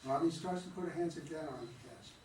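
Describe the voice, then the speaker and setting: A man's voice: fairly high pitch, quick pace, darkish tone. A man reads a play's stage directions aloud in a plain way, now and then tripping over a word. He is recorded from a distance in an echoey room, with a faint noise underneath. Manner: plain, with occasional stumbles.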